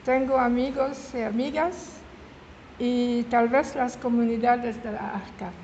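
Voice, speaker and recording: A woman in her seventies from Switzerland, with a French-speaking Swiss accent and French as her first language, giving an informal public talk. She speaks haltingly, searching for words and correcting herself mid-word. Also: fairly high pitch, steady tone, a little mellow, lo-fi sound. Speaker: guest speaker. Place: meeting hall in an old house. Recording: reverberant hall with faint room noise.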